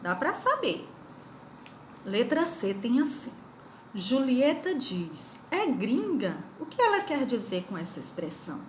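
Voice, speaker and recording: Brazilian woman teacher, lively sing-song. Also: fairly high pitch, a little slow, slight background noise. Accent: Brazilian Portuguese. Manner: questioning, coaxing students, sing-song emphasis. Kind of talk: online class lesson. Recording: echoey room, faint ambience.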